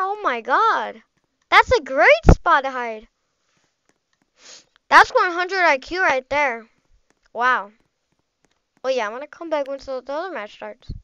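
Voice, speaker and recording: A woman with a high, bright voice talks through her video game as she plays, chatty and slangy, reacting aloud to every moment. The sound is close and dry, with no room around it. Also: dry recording, lo-fi sound. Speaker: gameplay streamer. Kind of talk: casual live game commentary.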